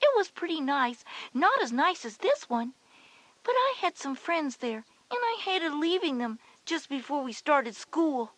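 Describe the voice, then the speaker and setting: A schoolgirl older sister, chatty and sure of herself in a children's audio drama. Her voice is high and closely recorded with very little room, and she explains things in a reassuring, big-sisterly way. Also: sing-song, unhurried, fairly bright tone, no room sound, heavily acted, rough recording. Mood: reminiscing.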